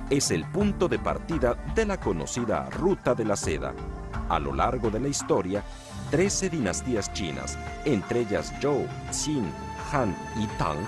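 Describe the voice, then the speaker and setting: A middle-aged man with a Mexican accent, a television news presenter hosting and narrating a travel documentary in a measured, polished broadcast manner. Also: on the low side. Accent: Mexican Spanish. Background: music.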